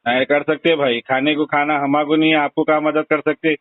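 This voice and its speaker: A man with a Hyderabadi accent speaks in a fairly high voice. He is blunt and insistent in a casual, conversational way. The sound is a narrow, dry telephone recording with very little room in it. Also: level tone, brisk, no room sound. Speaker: phone call recipient. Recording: tinny narrowband phone call recording.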